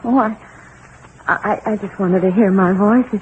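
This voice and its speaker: An actress playing a timid, fearful, placating wife who speaks in hesitant, faltering questions, in 1940s radio drama style. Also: female voice, mid-range voice, a little slow, close to an everyday voice. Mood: uneasy, placating. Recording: tinny, noisy 1940s radio recording.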